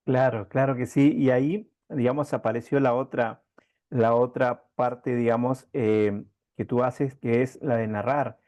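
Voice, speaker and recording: A man hosting a conversational interview, meandering and pausing as he works his way toward each question. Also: medium pitch, fairly even intonation, a little mellow, dry recording. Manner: meandering, halting lead-ins to questions. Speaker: interviewer, host.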